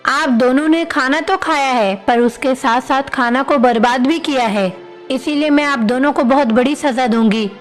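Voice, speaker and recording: A woman's voice: sits high, normal speed, faint music behind, close to an everyday voice. A woman playing a stern mother goddess, scolding and commanding, in devotional storybook dialogue. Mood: angry, scolding.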